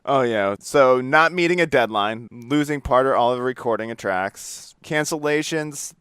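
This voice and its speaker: Smooth, clear-voiced man hosting a podcast. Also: medium pitch, normal speed, a bit bright, dry recording, clean studio sound. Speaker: podcast host. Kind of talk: casual interview chat.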